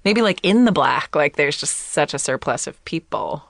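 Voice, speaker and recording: A woman in her thirties co-hosting a casual chat, talking in quick bursts with frequent restarts and laughter. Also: sits low, lively intonation, gravelly, no room sound, clean studio sound. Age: 30s. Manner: chatty, laughs often, many restarts. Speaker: podcast co-host.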